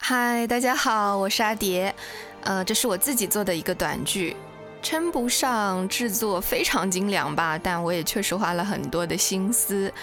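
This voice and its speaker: A woman's voice: mid-range voice, normal speed, a bit bright, faint music behind. A woman hosting a podcast, giving an informal outro and credits as the creator of the show. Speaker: podcast host and drama creator.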